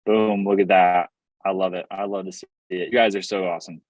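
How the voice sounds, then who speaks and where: This upbeat man hosts a real estate workshop over a video call, cheering on his viewers. His voice sits fairly low and sounds dry and close, with no room echo. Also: little pitch movement, brisk. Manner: upbeat, hyping up the audience. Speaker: real estate investing host.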